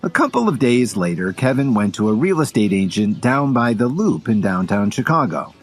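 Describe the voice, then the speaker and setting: Male narrator for scripted audio drama, expressive range, faint music bed. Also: medium pitch, normal speed, breathy edge.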